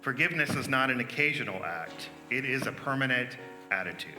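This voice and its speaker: A man with an intensely bright, mid-pitched voice reads quotations aloud. He is in a reverberant hall with music behind. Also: expressive, brisk. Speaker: church member reading. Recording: echoey hall, music underneath.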